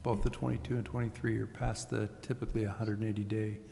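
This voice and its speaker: American man, civic official, monotone fry-edged voice, plain reporting, faint room noise. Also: lowish voice, fast. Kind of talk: plain status update. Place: council chamber. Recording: echoey hall, faint noise.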